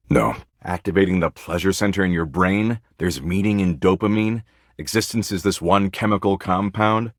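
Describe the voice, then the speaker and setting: A man's voice: low-pitched, a bit bright, dry recording, studio quality. A man voicing a video game character, a snide, slangy slacker embodiment of existential dread with bored condescension. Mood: bored, nihilistic. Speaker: datable character in a dating simulator game. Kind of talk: scripted video game voice acting.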